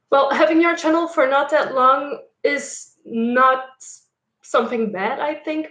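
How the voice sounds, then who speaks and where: A woman's voice: a little high, reverberant. A young woman with a Dutch accent, a native speaker of Dutch and French, chatting casually with her viewers on a live stream, with many fillers. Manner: chatty, frequent fillers and laughs. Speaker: YouTuber.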